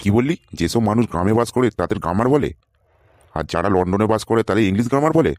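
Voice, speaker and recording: A man's voice: fairly low pitch, expressive, quick pace, a little mellow, close to an everyday voice. A man voicing an irritable, sarcastic boss in a comedy cartoon, scolding and dismissive. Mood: angry, exasperated. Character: irritable, sarcastic male boss interviewing job seekers.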